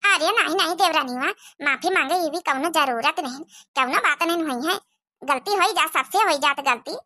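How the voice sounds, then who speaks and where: A woman's voice: high-pitched, moderate pace, bright, forceful tone. A woman voicing an emotional young village daughter-in-law in a cartoon comedy, speaking with a rustic Awadhi accent. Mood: soothing, apologetic. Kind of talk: rural cartoon comedy dialogue.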